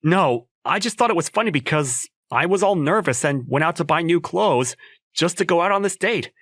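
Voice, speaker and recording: A man's voice: on the high side, expressive, normal speed, fairly bright tone, no room sound, studio quality. A young man playing a nervous, teasing student in love in a manga dub, talking in a conversational, slightly awkward way. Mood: nervous, relieved. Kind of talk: manga dub acting with first-person narration.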